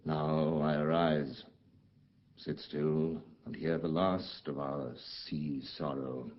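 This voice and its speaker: Slow, commanding magician duke. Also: male voice, very deep voice, steady tone. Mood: grave, intense. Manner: grave, measured, commanding declamation. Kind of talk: theatrical Shakespearean audio drama acting. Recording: muffled lo-fi audio.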